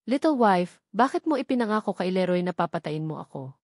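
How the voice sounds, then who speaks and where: A woman's voice: fairly low pitch, big pitch swings, brisk, a little mellow, no echo, studio quality. A woman voicing a brash, teasing male warrior leader in a fantasy audiobook, taunting and self-assured.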